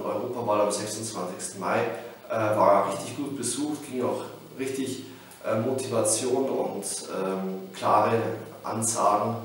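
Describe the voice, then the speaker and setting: A man's voice: lowish voice, distant mic, a little hiss or hum. Echoey-roomed man giving a political update. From Bavaria, Germany. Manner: hesitant, many filler sounds, combative. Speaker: regional politician. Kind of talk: weekly political video update. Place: small indoor room before a backdrop. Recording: echoey room, mic set back.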